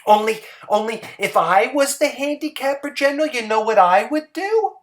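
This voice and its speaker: A man's voice: very high voice, expressive, moderate pace, voice pushed up. A man voicing a simple, cheerful wife in a dramatic story reading, with a folksy, doting drawl. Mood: eager, musing.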